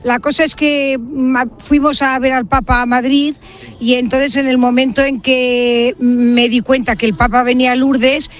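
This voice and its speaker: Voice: sits high, flat delivery, moderate pace, a bit bright. A person from Barcelona, Spain, organiser of a pilgrim group, giving casual explanatory answers in an outdoor interview. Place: outdoors in a meadow.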